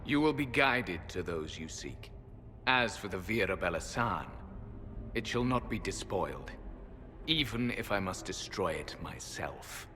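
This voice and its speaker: A man's voice: deep voice, animated, measured pace, background noise. An actor playing an ancient, stern male elven sentinel who speaks in a solemn, formal, cold way. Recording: long hall reverb. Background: faint music.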